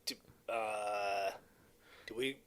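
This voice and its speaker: A man's voice: lowish voice, fairly even intonation, very slow, a bit bright. A man co-hosting a movie podcast, mostly chiming in with short replies and the odd joke. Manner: chimes in with short replies and jokes. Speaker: podcast co-host. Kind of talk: casual movie commentary chat.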